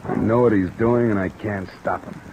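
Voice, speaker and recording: An actor playing a tough, weary American insurance investigator in terse 1950s detective radio drama style. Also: male voice, on the low side, fairly even intonation, normal speed, muffled recording, lightly acted. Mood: bitter, frustrated. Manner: terse, hard-boiled delivery. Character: tough, weary male insurance investigator narrating his case. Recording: old radio recording under rain noise.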